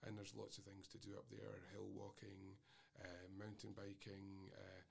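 Gravelly husky man interviewing. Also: deep voice, monotone, moderate pace. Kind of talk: interview about university study.

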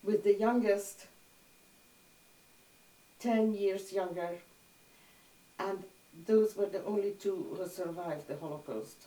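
An older woman whose first language is Hungarian, calmly recalling her childhood in an oral history interview. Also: mid-range voice, slow, long pauses. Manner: reflective, plain-spoken storytelling. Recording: roomy sound from a distant mic.

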